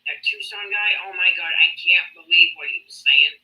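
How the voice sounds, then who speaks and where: A woman's voice: on the low side, moderate pace, highs cut off. A woman caller chatting casually, sounding indignant. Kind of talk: casual chat.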